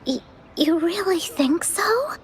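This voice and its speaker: Shy wistful little kid in a game, high soft voice, slow n hesitant, bit of background noise. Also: female voice, lively intonation, full character voice. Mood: hesitant, hopeful. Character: timid, wistful young child missing a parent. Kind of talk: anime-style video game voice acting.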